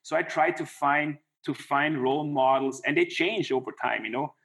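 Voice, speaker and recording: A man's voice: on the high side, normal speed, a bit bright. A middle-aged man, a former hockey player, talking in a casual interview with many restarts and a lot of "you know.". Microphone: remote call.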